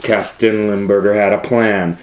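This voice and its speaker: A man's voice: fairly low pitch, little pitch movement, a little slow, noisy background. A man reading a children's story aloud as a storytime host, with theatrical, suspenseful dramatic narration.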